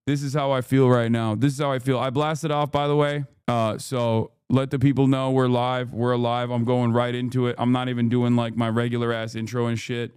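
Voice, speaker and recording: A man's voice: medium pitch, a little mellow, clear, resonant tone, dry recording, studio quality. Hyped Texan man streaming. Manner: hyped, profane, rambling banter. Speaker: streamer host. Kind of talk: live-stream commentary.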